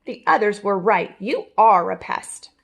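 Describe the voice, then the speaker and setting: A woman's voice: mid-range voice, unhurried, creaky, fairly bright tone, slight background noise. A woman voicing a smug, know-it-all rabbit in a storybook, self-assured and instructive. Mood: bossy.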